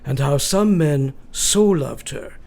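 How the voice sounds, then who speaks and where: Man acting a creaky, solemn older professor. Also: fairly high pitch, slow, a little mellow. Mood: fond, reflective. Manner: formal, solemn, old-fashioned phrasing. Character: grave, resolute older male professor and vampire hunter. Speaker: actor. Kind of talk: radio drama acting.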